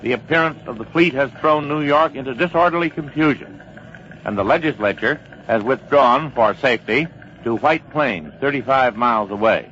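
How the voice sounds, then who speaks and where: A man plays a formal American military newsman in a vintage radio play. He is factual and authoritative in a medium-pitched voice. The sound is dull, with faint noise. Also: normal speed, fairly bright tone, close to an everyday voice. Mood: sober, factual. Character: sober male military news analyst at headquarters booth. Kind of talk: old-time radio news bulletin.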